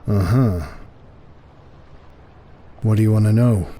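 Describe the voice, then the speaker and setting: An actor playing a weary, suspicious middle-aged harbour master in an audio drama, speaking bluntly. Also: male voice, fairly low pitch, slow pace, mellow, a little hiss or hum. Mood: guarded, suspicious.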